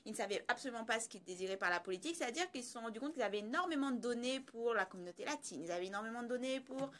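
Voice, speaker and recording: A woman's voice: fairly high pitch, lively intonation, rapid-fire, fairly bright tone. A woman commentating on social issues in a conversational, explanatory way, repeating phrases for emphasis.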